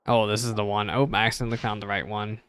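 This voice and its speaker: Clear-voiced male game streamer. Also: lowish voice, level tone, fast talker, fairly bright tone, no room sound. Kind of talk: casual game reaction and reading aloud.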